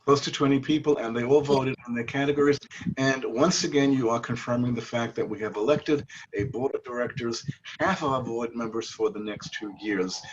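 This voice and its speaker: A man with a Brooklyn accent runs a meeting over a video call in a genial, formal way. The call audio is dull and lo-fi. Steady noise sits under his voice. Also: medium pitch. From New York. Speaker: meeting chair. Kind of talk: chairing a virtual meeting.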